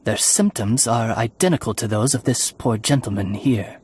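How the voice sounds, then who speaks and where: A man's voice: medium pitch, normal speed, darkish tone, slight background noise, natural voice. A man voicing a loyal, formal raven familiar in a video game, with an eloquent, dignified manner. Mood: courteous, formal. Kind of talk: video game character acting. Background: background music.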